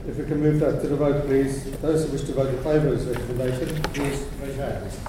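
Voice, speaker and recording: A man chairing a formal council meeting, calling speakers briskly and courteously. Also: medium pitch, fairly even intonation. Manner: brisk, courteous procedural calls. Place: large echoing council chamber. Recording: distant voice, heavy room echo, hiss.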